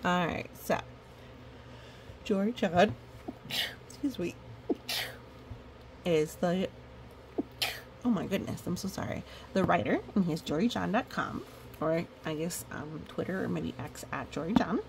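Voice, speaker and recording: A woman's voice: on the low side, animated, a little slow, creaky, long pauses, darkish tone, a little hiss or hum. A woman hosting a chatty picture-book storytime, with playful, rambling asides. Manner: playful, rambling asides with little sung bits and hums. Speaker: storytime reader and host.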